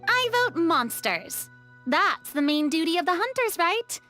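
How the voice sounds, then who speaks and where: A woman playing an earnest, gentle, girlish young heroine in a video game. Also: very high-pitched, big pitch swings, a little slow, soft background music, full character voice.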